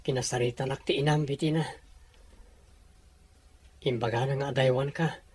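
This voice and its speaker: A poor young Filipino sweetheart, played by a male actor, speaks in a noticeably husky voice. He is humble, sincere and respectful, earnest in a radio drama romance. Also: medium pitch, fairly even intonation, moderate pace, darkish tone, close to an everyday voice. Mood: hurt, determined. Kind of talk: Ilocano radio drama acting.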